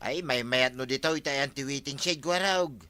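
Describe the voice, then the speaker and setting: Man acting a sharp, chummy Ilocano villager. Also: medium pitch, fairly quick, natural voice. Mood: persuasive. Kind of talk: Ilocano radio comedy drama acting.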